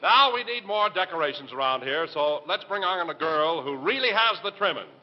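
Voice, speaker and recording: A man's voice: a little high, lively intonation, normal speed. A man speaking as master of ceremonies on a 1940s radio variety show, introducing acts. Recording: muffled noisy hall recording, old radio.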